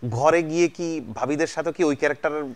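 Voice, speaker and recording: A man's voice: a little high, quick pace, darkish tone, clear, resonant tone. A man from Bangladesh hosting a casual celebrity chat show, joking and heaping compliments on his guests. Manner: jokey, effusively complimentary to guests. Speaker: TV show host.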